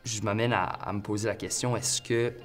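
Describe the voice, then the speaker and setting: A man's voice: fairly low pitch, steady tone, normal speed, music in the background. A young man, a student, giving a considered opinion in an interview. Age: young adult. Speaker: student panelist.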